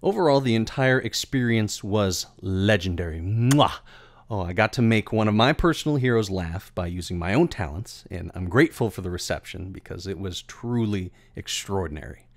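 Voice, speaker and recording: A man's voice: fairly low pitch, expressive, normal speed. A man narrating a scripted story for his videos in a theatrical, wordy storytelling manner. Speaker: video creator and voice actor.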